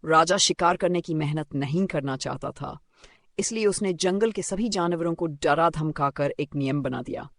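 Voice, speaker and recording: A male storyteller reading a scripted children's fable. His voice is slightly high for a man and noticeably creaky, captured very dry with no room sound. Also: normal speed, a bit bright, no room sound.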